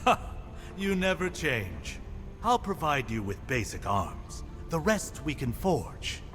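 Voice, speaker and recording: Expressive, loyal fantasy armourer. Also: male voice, a little high, slow, slight background noise, heavily acted. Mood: earnest, friendly. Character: loyal, good-natured male armourer, old war comrade. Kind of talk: cinematic fantasy game acting. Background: music.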